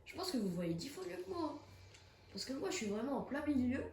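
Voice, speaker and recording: A woman's voice: sits high, sing-song, a little fast, darkish tone, echoey room, a little hiss or hum. A young woman with a cold, chatting casually and reacting with jumpy exclamations as she plays a horror game. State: has a cold. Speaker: gaming streamer. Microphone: phone.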